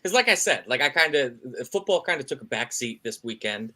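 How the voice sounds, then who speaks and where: A man co-hosting a sports podcast, chatting casually and excitably about his team. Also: medium pitch, fairly quick. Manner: excitable, animated, says "bro" and "man". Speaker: podcast co-host.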